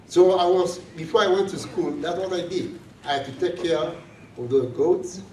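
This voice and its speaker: A priest from South Sudan speaks to students in a slow, measured and earnest way. His voice sits a little high. It comes across an echoey room from a distant mic, with faint background noise. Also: darkish tone. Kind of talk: reflective talk to students. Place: large room on a college campus.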